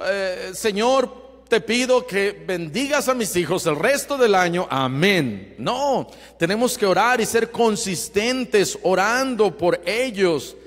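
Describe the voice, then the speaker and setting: A male pastor preaching earnestly, with emphatic rising bursts and quiet asides. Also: high-pitched. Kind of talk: sermon. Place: church sanctuary. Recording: long hall reverb.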